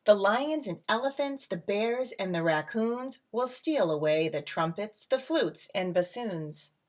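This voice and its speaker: A woman reading a picture book aloud to children as a teacher, with dramatic emphasis, drawn-out words and casual asides. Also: medium pitch, lively intonation. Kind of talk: expressive storybook read-aloud with casual asides. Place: at home.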